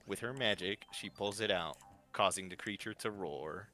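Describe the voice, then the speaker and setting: A man's voice: deep voice, moderate pace, sharp tone, soft background music. A man narrating a fan-written story live, reading from a script with small stumbles and repeats.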